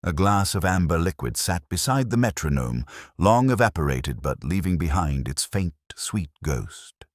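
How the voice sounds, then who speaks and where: A man narrating a literary detective story in the first person, with a measured, reflective delivery of scripted prose. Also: deep voice, big pitch swings, no room sound, studio quality.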